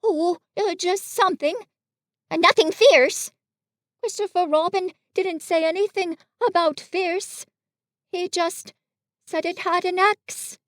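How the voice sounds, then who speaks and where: A woman's voice: very high-pitched, steady tone, slow, a bit bright, no echo, studio quality, pitched above the performer's own voice, heavily acted. A woman voicing a cheerful, simple little bear in a children's story, with a naive, bumbling, eager manner. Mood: excited. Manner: naive, bumbling, muddles long words. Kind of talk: children's storybook character reading.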